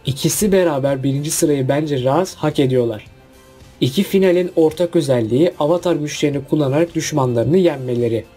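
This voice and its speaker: A man's voice: on the high side, a little fast, warm tone, breathy edge, soft background music. A man narrating a casual countdown video about cartoon finales in a chatty voice-over.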